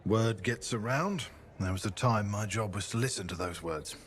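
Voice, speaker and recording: An actor playing a haunted, earnest ex-spy in a video game, with a measured, reflective delivery. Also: male voice, on the low side, slight background noise, natural voice. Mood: guarded, wry. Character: grave, haunted, earnest defected spy, grown man. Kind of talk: video game dramatic acting.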